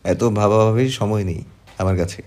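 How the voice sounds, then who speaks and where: A man's voice: fairly low pitch, normal speed, darkish tone, natural voice. A man playing a brusque, sarcastic, possessive young man in an audio love story, with a curt, mocking, impatient manner. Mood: irritated, scornful. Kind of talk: amateur audio story acting.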